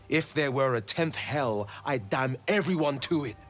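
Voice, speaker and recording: A man's voice: mid-range voice, animated, unhurried, a bit bright, soft background music. A man playing an aggrieved but resolute adventuring companion in a video game, speaking dramatically and with reproach. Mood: bitter, reproachful. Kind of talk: video game character acting.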